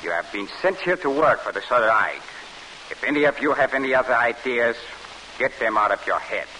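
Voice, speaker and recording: An actor playing a stern, menacing Nazi camp commandant in 1940s radio style. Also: male voice, mid-range voice, animated, brisk, bright, forceful tone, poor audio. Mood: cold, threatening. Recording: hissy vintage radio broadcast recording.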